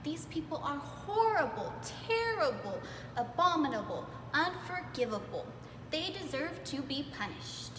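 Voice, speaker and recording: A woman's voice: high voice, very animated, a little slow. A woman voicing a grumpy, sulky male prophet from a children's Bible storybook, with emphatic grumbling. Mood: indignant. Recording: echoey reverberant room with clear background noise.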